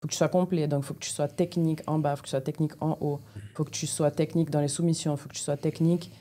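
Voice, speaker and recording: Woman podcast guest, low pitched n mellow, methodical, down to earth, hunts for words. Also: little pitch movement, very fast, a little hiss or hum. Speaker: elite jiu-jitsu athlete, podcast guest. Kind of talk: explanatory interview talk.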